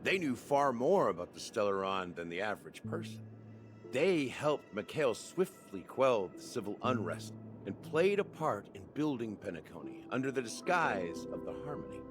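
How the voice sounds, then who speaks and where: An actor playing a secretive man who solemnly lays bare the dark history of a dream world in a game cutscene. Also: fairly low pitch, sing-song. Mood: grave. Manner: measured, solemn explaining. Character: grave schemer revealing a dreamland's dark secret. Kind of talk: video game cutscene dialogue. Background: game music.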